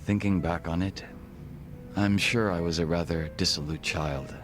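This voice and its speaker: An actor playing a composed, formal gangster second-in-command in a video game cutscene, measured and reserved. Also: male voice, sits low, faint music behind, close to an everyday voice. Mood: calm, formal.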